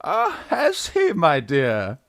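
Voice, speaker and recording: A high, swooping, flustered fake aunt. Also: male voice, wildly swooping pitch, slow, vocal fry, a bit bright, dry recording, clean studio sound, exaggerated voice. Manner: flustered, haughty, archly coy. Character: young man posing as a flustered genteel aunt. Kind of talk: Victorian farce radio acting.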